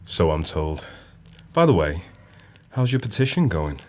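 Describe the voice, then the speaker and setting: A man acting in an audio play as a sly, vengeful pub landlord, measured and pointedly polite with cold menace. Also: low voice, big pitch swings, a little mellow. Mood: slyly probing.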